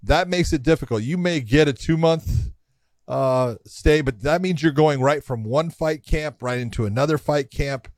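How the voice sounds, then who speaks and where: A man's voice: on the high side, moderate pace, a little mellow, no echo. A man co-hosting a casual sports chat, blunt and stammering at the start of his points. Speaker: podcast co-host, fighter.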